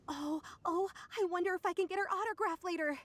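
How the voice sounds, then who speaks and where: A woman voicing an excitable, timid young onlooker in a video game. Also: extremely high pitch, fairly quick, a little hiss or hum. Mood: starstruck. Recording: game music behind.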